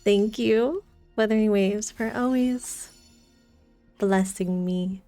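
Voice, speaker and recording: A woman casually chatting on a game livestream, playful and excitable, often repeating her phrases. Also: mid-range voice, takes it slowly, no echo, soft background music. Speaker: game streamer. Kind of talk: casual livestream chat while playing a gacha game.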